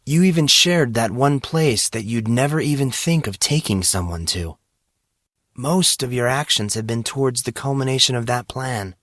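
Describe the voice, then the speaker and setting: Male narrator as teenage schoolboy, earnest, deliberate, perceptive, slightly higher pitch, dry story recording. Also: animated, a bit bright, no echo, close to an everyday voice. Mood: hurt realization. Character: teenage schoolboy, perceptive, playful, hurt but kind. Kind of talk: audiobook character dialogue.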